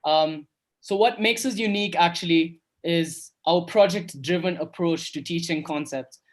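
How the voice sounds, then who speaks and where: A man's voice: fairly high pitch, normal speed. A young man raised in Kenya hosting an online coding workshop over a video call, upbeat and chatty with lots of fillers. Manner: upbeat, filler-heavy, repeats "awesome". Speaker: workshop host. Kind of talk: casual online workshop talk.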